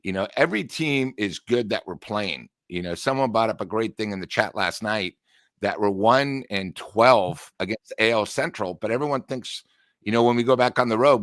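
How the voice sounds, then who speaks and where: A man's voice: lowish voice, a bit bright, no echo, clean studio sound. A male co-host giving casual sports analysis in a blunt way, often saying "you know".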